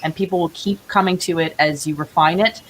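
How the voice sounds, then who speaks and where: A woman with a low-pitched voice talks as a guest in a casual remote interview. She is earnest and encouraging, and a light hiss sits on her call audio. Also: steady tone, a little fast.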